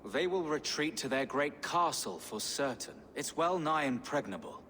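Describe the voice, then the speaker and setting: A man's voice: a little high, animated, normal speed, a bit bright. A man playing a pious, pleading, anxious bishop in a video game, earnest and formal. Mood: weary, grave. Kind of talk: dramatic video game acting. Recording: reverberant hall, faint noise.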